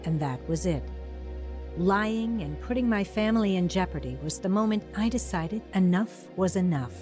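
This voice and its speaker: A woman's voice: mid-range voice, animated, moderate pace, over music, close to an everyday voice. An actress narrating and playing a troubled young mother in a radio drama, close to tears and emotional. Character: troubled young mother leaving her husband. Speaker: narrator and lead character.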